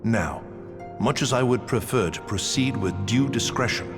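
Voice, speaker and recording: An actor playing a proud, gracious old male knight captain in a game cutscene, speaking in a formal, measured way. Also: medium pitch, music in the background, close to an everyday voice. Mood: earnest. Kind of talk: video game cutscene voice acting.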